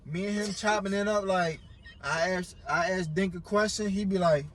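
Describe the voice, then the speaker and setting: A man's voice: sits high, moderate pace, a bit bright. An American man who stutters, chatting casually and emphatically as a podcast guest, with heavy slang and swearing. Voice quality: stutter, word and syllable repetitions. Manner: emphatic, repeats himself for emphasis.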